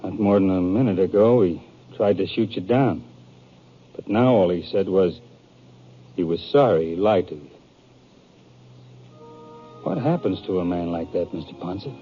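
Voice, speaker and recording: A plain-spoken, puzzled posseman in a vintage radio western. His American voice is low and husky. The sound is tinny, with faint music. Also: moderate pace, long pauses. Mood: bewildered. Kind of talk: 1950s radio western acting.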